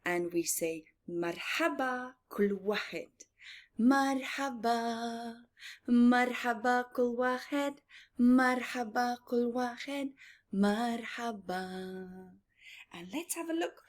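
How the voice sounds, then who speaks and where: A woman's voice: on the high side, slow. A woman presenting a story time for young children, talking in a singsong way with repeated words and questions to her listeners. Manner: asks listeners questions and answers them. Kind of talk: children's read-aloud and teaching, addressed to young viewers.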